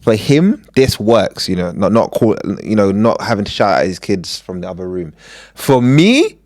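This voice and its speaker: A joking, animated man co-hosting a casual podcast. His pitch swings widely as he talks. The recording is close and dry. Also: moderate pace, no echo. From Uganda. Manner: joking, animated, acts out voices. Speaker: podcast co-host.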